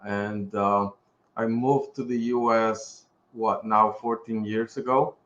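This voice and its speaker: A man's voice: lowish voice, steady tone, slow pace, fairly bright tone. Male podcast guest, middle-aged, Puerto Rican accent, conversational, slightly muffled recording in an echoey room. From Puerto Rico. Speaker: podcast guest, pharmaceutical executive. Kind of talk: casual interview conversation. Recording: roomy echo, slightly dull sound.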